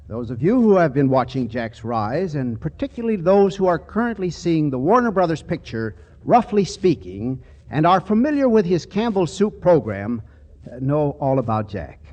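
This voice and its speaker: A man's voice: on the high side, darkish tone. A man announcing and narrating in a formal, showmanlike 1940s radio style, reading from a script.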